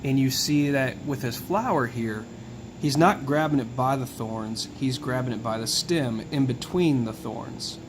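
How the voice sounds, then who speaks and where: A hoarse man hosting a video, explaining in a relaxed, musing way as he walks through details one by one. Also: mid-range voice, a little slow, background noise. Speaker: tarot video host. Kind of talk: casual explanatory talk.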